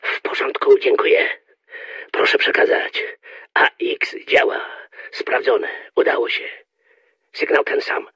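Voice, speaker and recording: An actor playing a gruff, agitated conspirator in a radio drama, raspy and clipped, through a telephone filter. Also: male voice, unhurried, pauses often, bright, forceful tone, highs cut off. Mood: tense. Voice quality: very raspy, gravelly.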